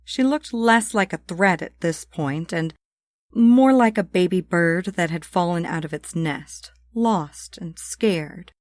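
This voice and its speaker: A woman's voice: fairly low pitch, takes it slowly, no echo, clean studio sound. A woman reading a comic novel as a scripted audiobook narration.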